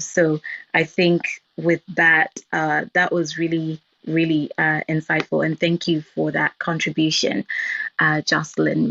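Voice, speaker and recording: A woman's voice: low-pitched, vocal fry, fairly bright tone. A woman hosting an online talk, thanking a speaker and leading on to the next guest, with many fillers. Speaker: host. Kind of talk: moderating an online talk room. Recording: dry lo-fi streamed audio.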